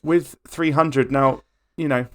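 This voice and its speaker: A man's voice: medium pitch, fairly even intonation, takes it slowly. A young man co-hosting a casual podcast, cracking jokes and laughing through loose segues. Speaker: podcast co-host.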